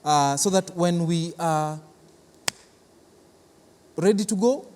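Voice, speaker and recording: A man's voice: fairly high pitch, slow pace, darkish tone. Kenyan man explaining earnestly in an echoey hall. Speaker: cultural centre representative, interview guest. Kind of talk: unscripted interview answers. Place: large reverberant theatre building. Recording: echoey hall, clear background noise.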